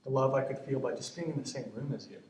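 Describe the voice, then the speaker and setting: A man's voice: medium pitch, fast, darkish tone, close to an everyday voice. A man acting a tender grandson in his twenties in a stage monologue, confiding in his departed grandmother in a reflective, hesitant, conversational way. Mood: nostalgic, tender. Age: 20s. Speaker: stage actor in a student play. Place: indoor stage, recorded from afar. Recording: echoey, distant stage recording with noise.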